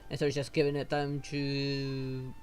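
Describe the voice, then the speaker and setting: A streamer reading game dialogue aloud and commenting on it, often halting and correcting themselves. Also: mid-range voice, monotone, a little mellow. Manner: halting when reading, stumbles and self-corrects. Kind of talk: gameplay commentary with improvised read-aloud dialogue. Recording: noticeable background noise.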